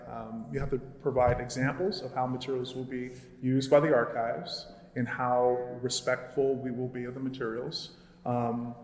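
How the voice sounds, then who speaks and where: A man on a panel talk speaking earnestly about archives, pausing often to find his words. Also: mid-range voice, a little mellow. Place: gallery event space. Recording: echoey gallery room with long reverb.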